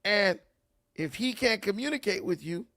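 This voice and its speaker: A man's voice: high-pitched, animated, normal speed, bright, forceful tone, no room sound, clean studio sound. A man hosting casual reaction commentary, ranting and repeating words as he builds his point. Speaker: commentary host.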